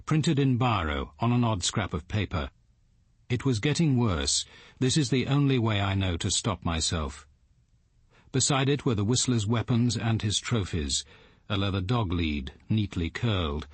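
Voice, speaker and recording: Low, husky crime narrator. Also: male voice, no echo. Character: grave, measured male narrator of a crime story. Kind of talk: scripted radio drama narration.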